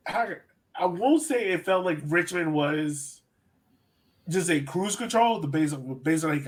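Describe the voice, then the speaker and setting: A man hosting a sports podcast, giving casual, opinionated match analysis in a conversational way. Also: a little high.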